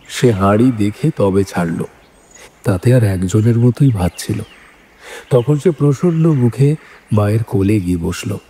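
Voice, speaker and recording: A man reading scripted literary narration as a storyteller, in a measured manner. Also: fairly low pitch, warm tone. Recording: music bed behind the voice.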